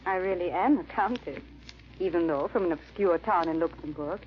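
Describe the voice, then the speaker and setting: An actress playing a cold, proud European countess and murderess in a 1940s-style radio drama. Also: female voice, fairly low pitch, moderate pace, close to an everyday voice. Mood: proud, matter-of-fact. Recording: muffled, noisy old-time radio recording.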